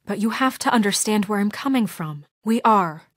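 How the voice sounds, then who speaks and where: A woman's voice: mid-range voice, expressive, normal speed, no room sound, studio quality, lightly acted. A woman playing a principled young deputy mayor in a story video game, speaking with earnest, firm exasperation in naturalistic dramatic dialogue. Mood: firm, insistent.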